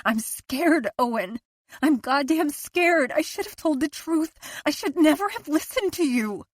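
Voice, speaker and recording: A woman narrator voicing a frightened, guilt-ridden wife in an audiobook, tearful and pleading, her voice climbing toward shouts. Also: fairly high pitch, moderate pace, husky, no echo, voice pushed up. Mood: panicked. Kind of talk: audiobook character voice.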